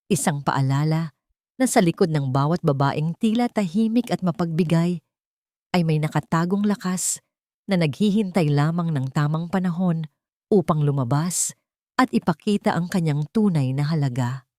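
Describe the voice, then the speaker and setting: Woman, lowish pitch, dry close recording, scripted story narration, sentimental, measured delivery. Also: a little mellow, dry recording. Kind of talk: scripted audio story narration, romantic drama.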